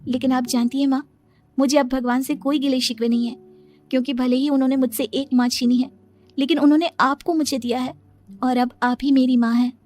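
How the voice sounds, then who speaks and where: A woman's voice: sits high, flat delivery, a little fast, a little mellow, lightly acted. A woman voicing a meek, dutiful young daughter-in-law in a cartoon family story. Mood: gentle, wistful.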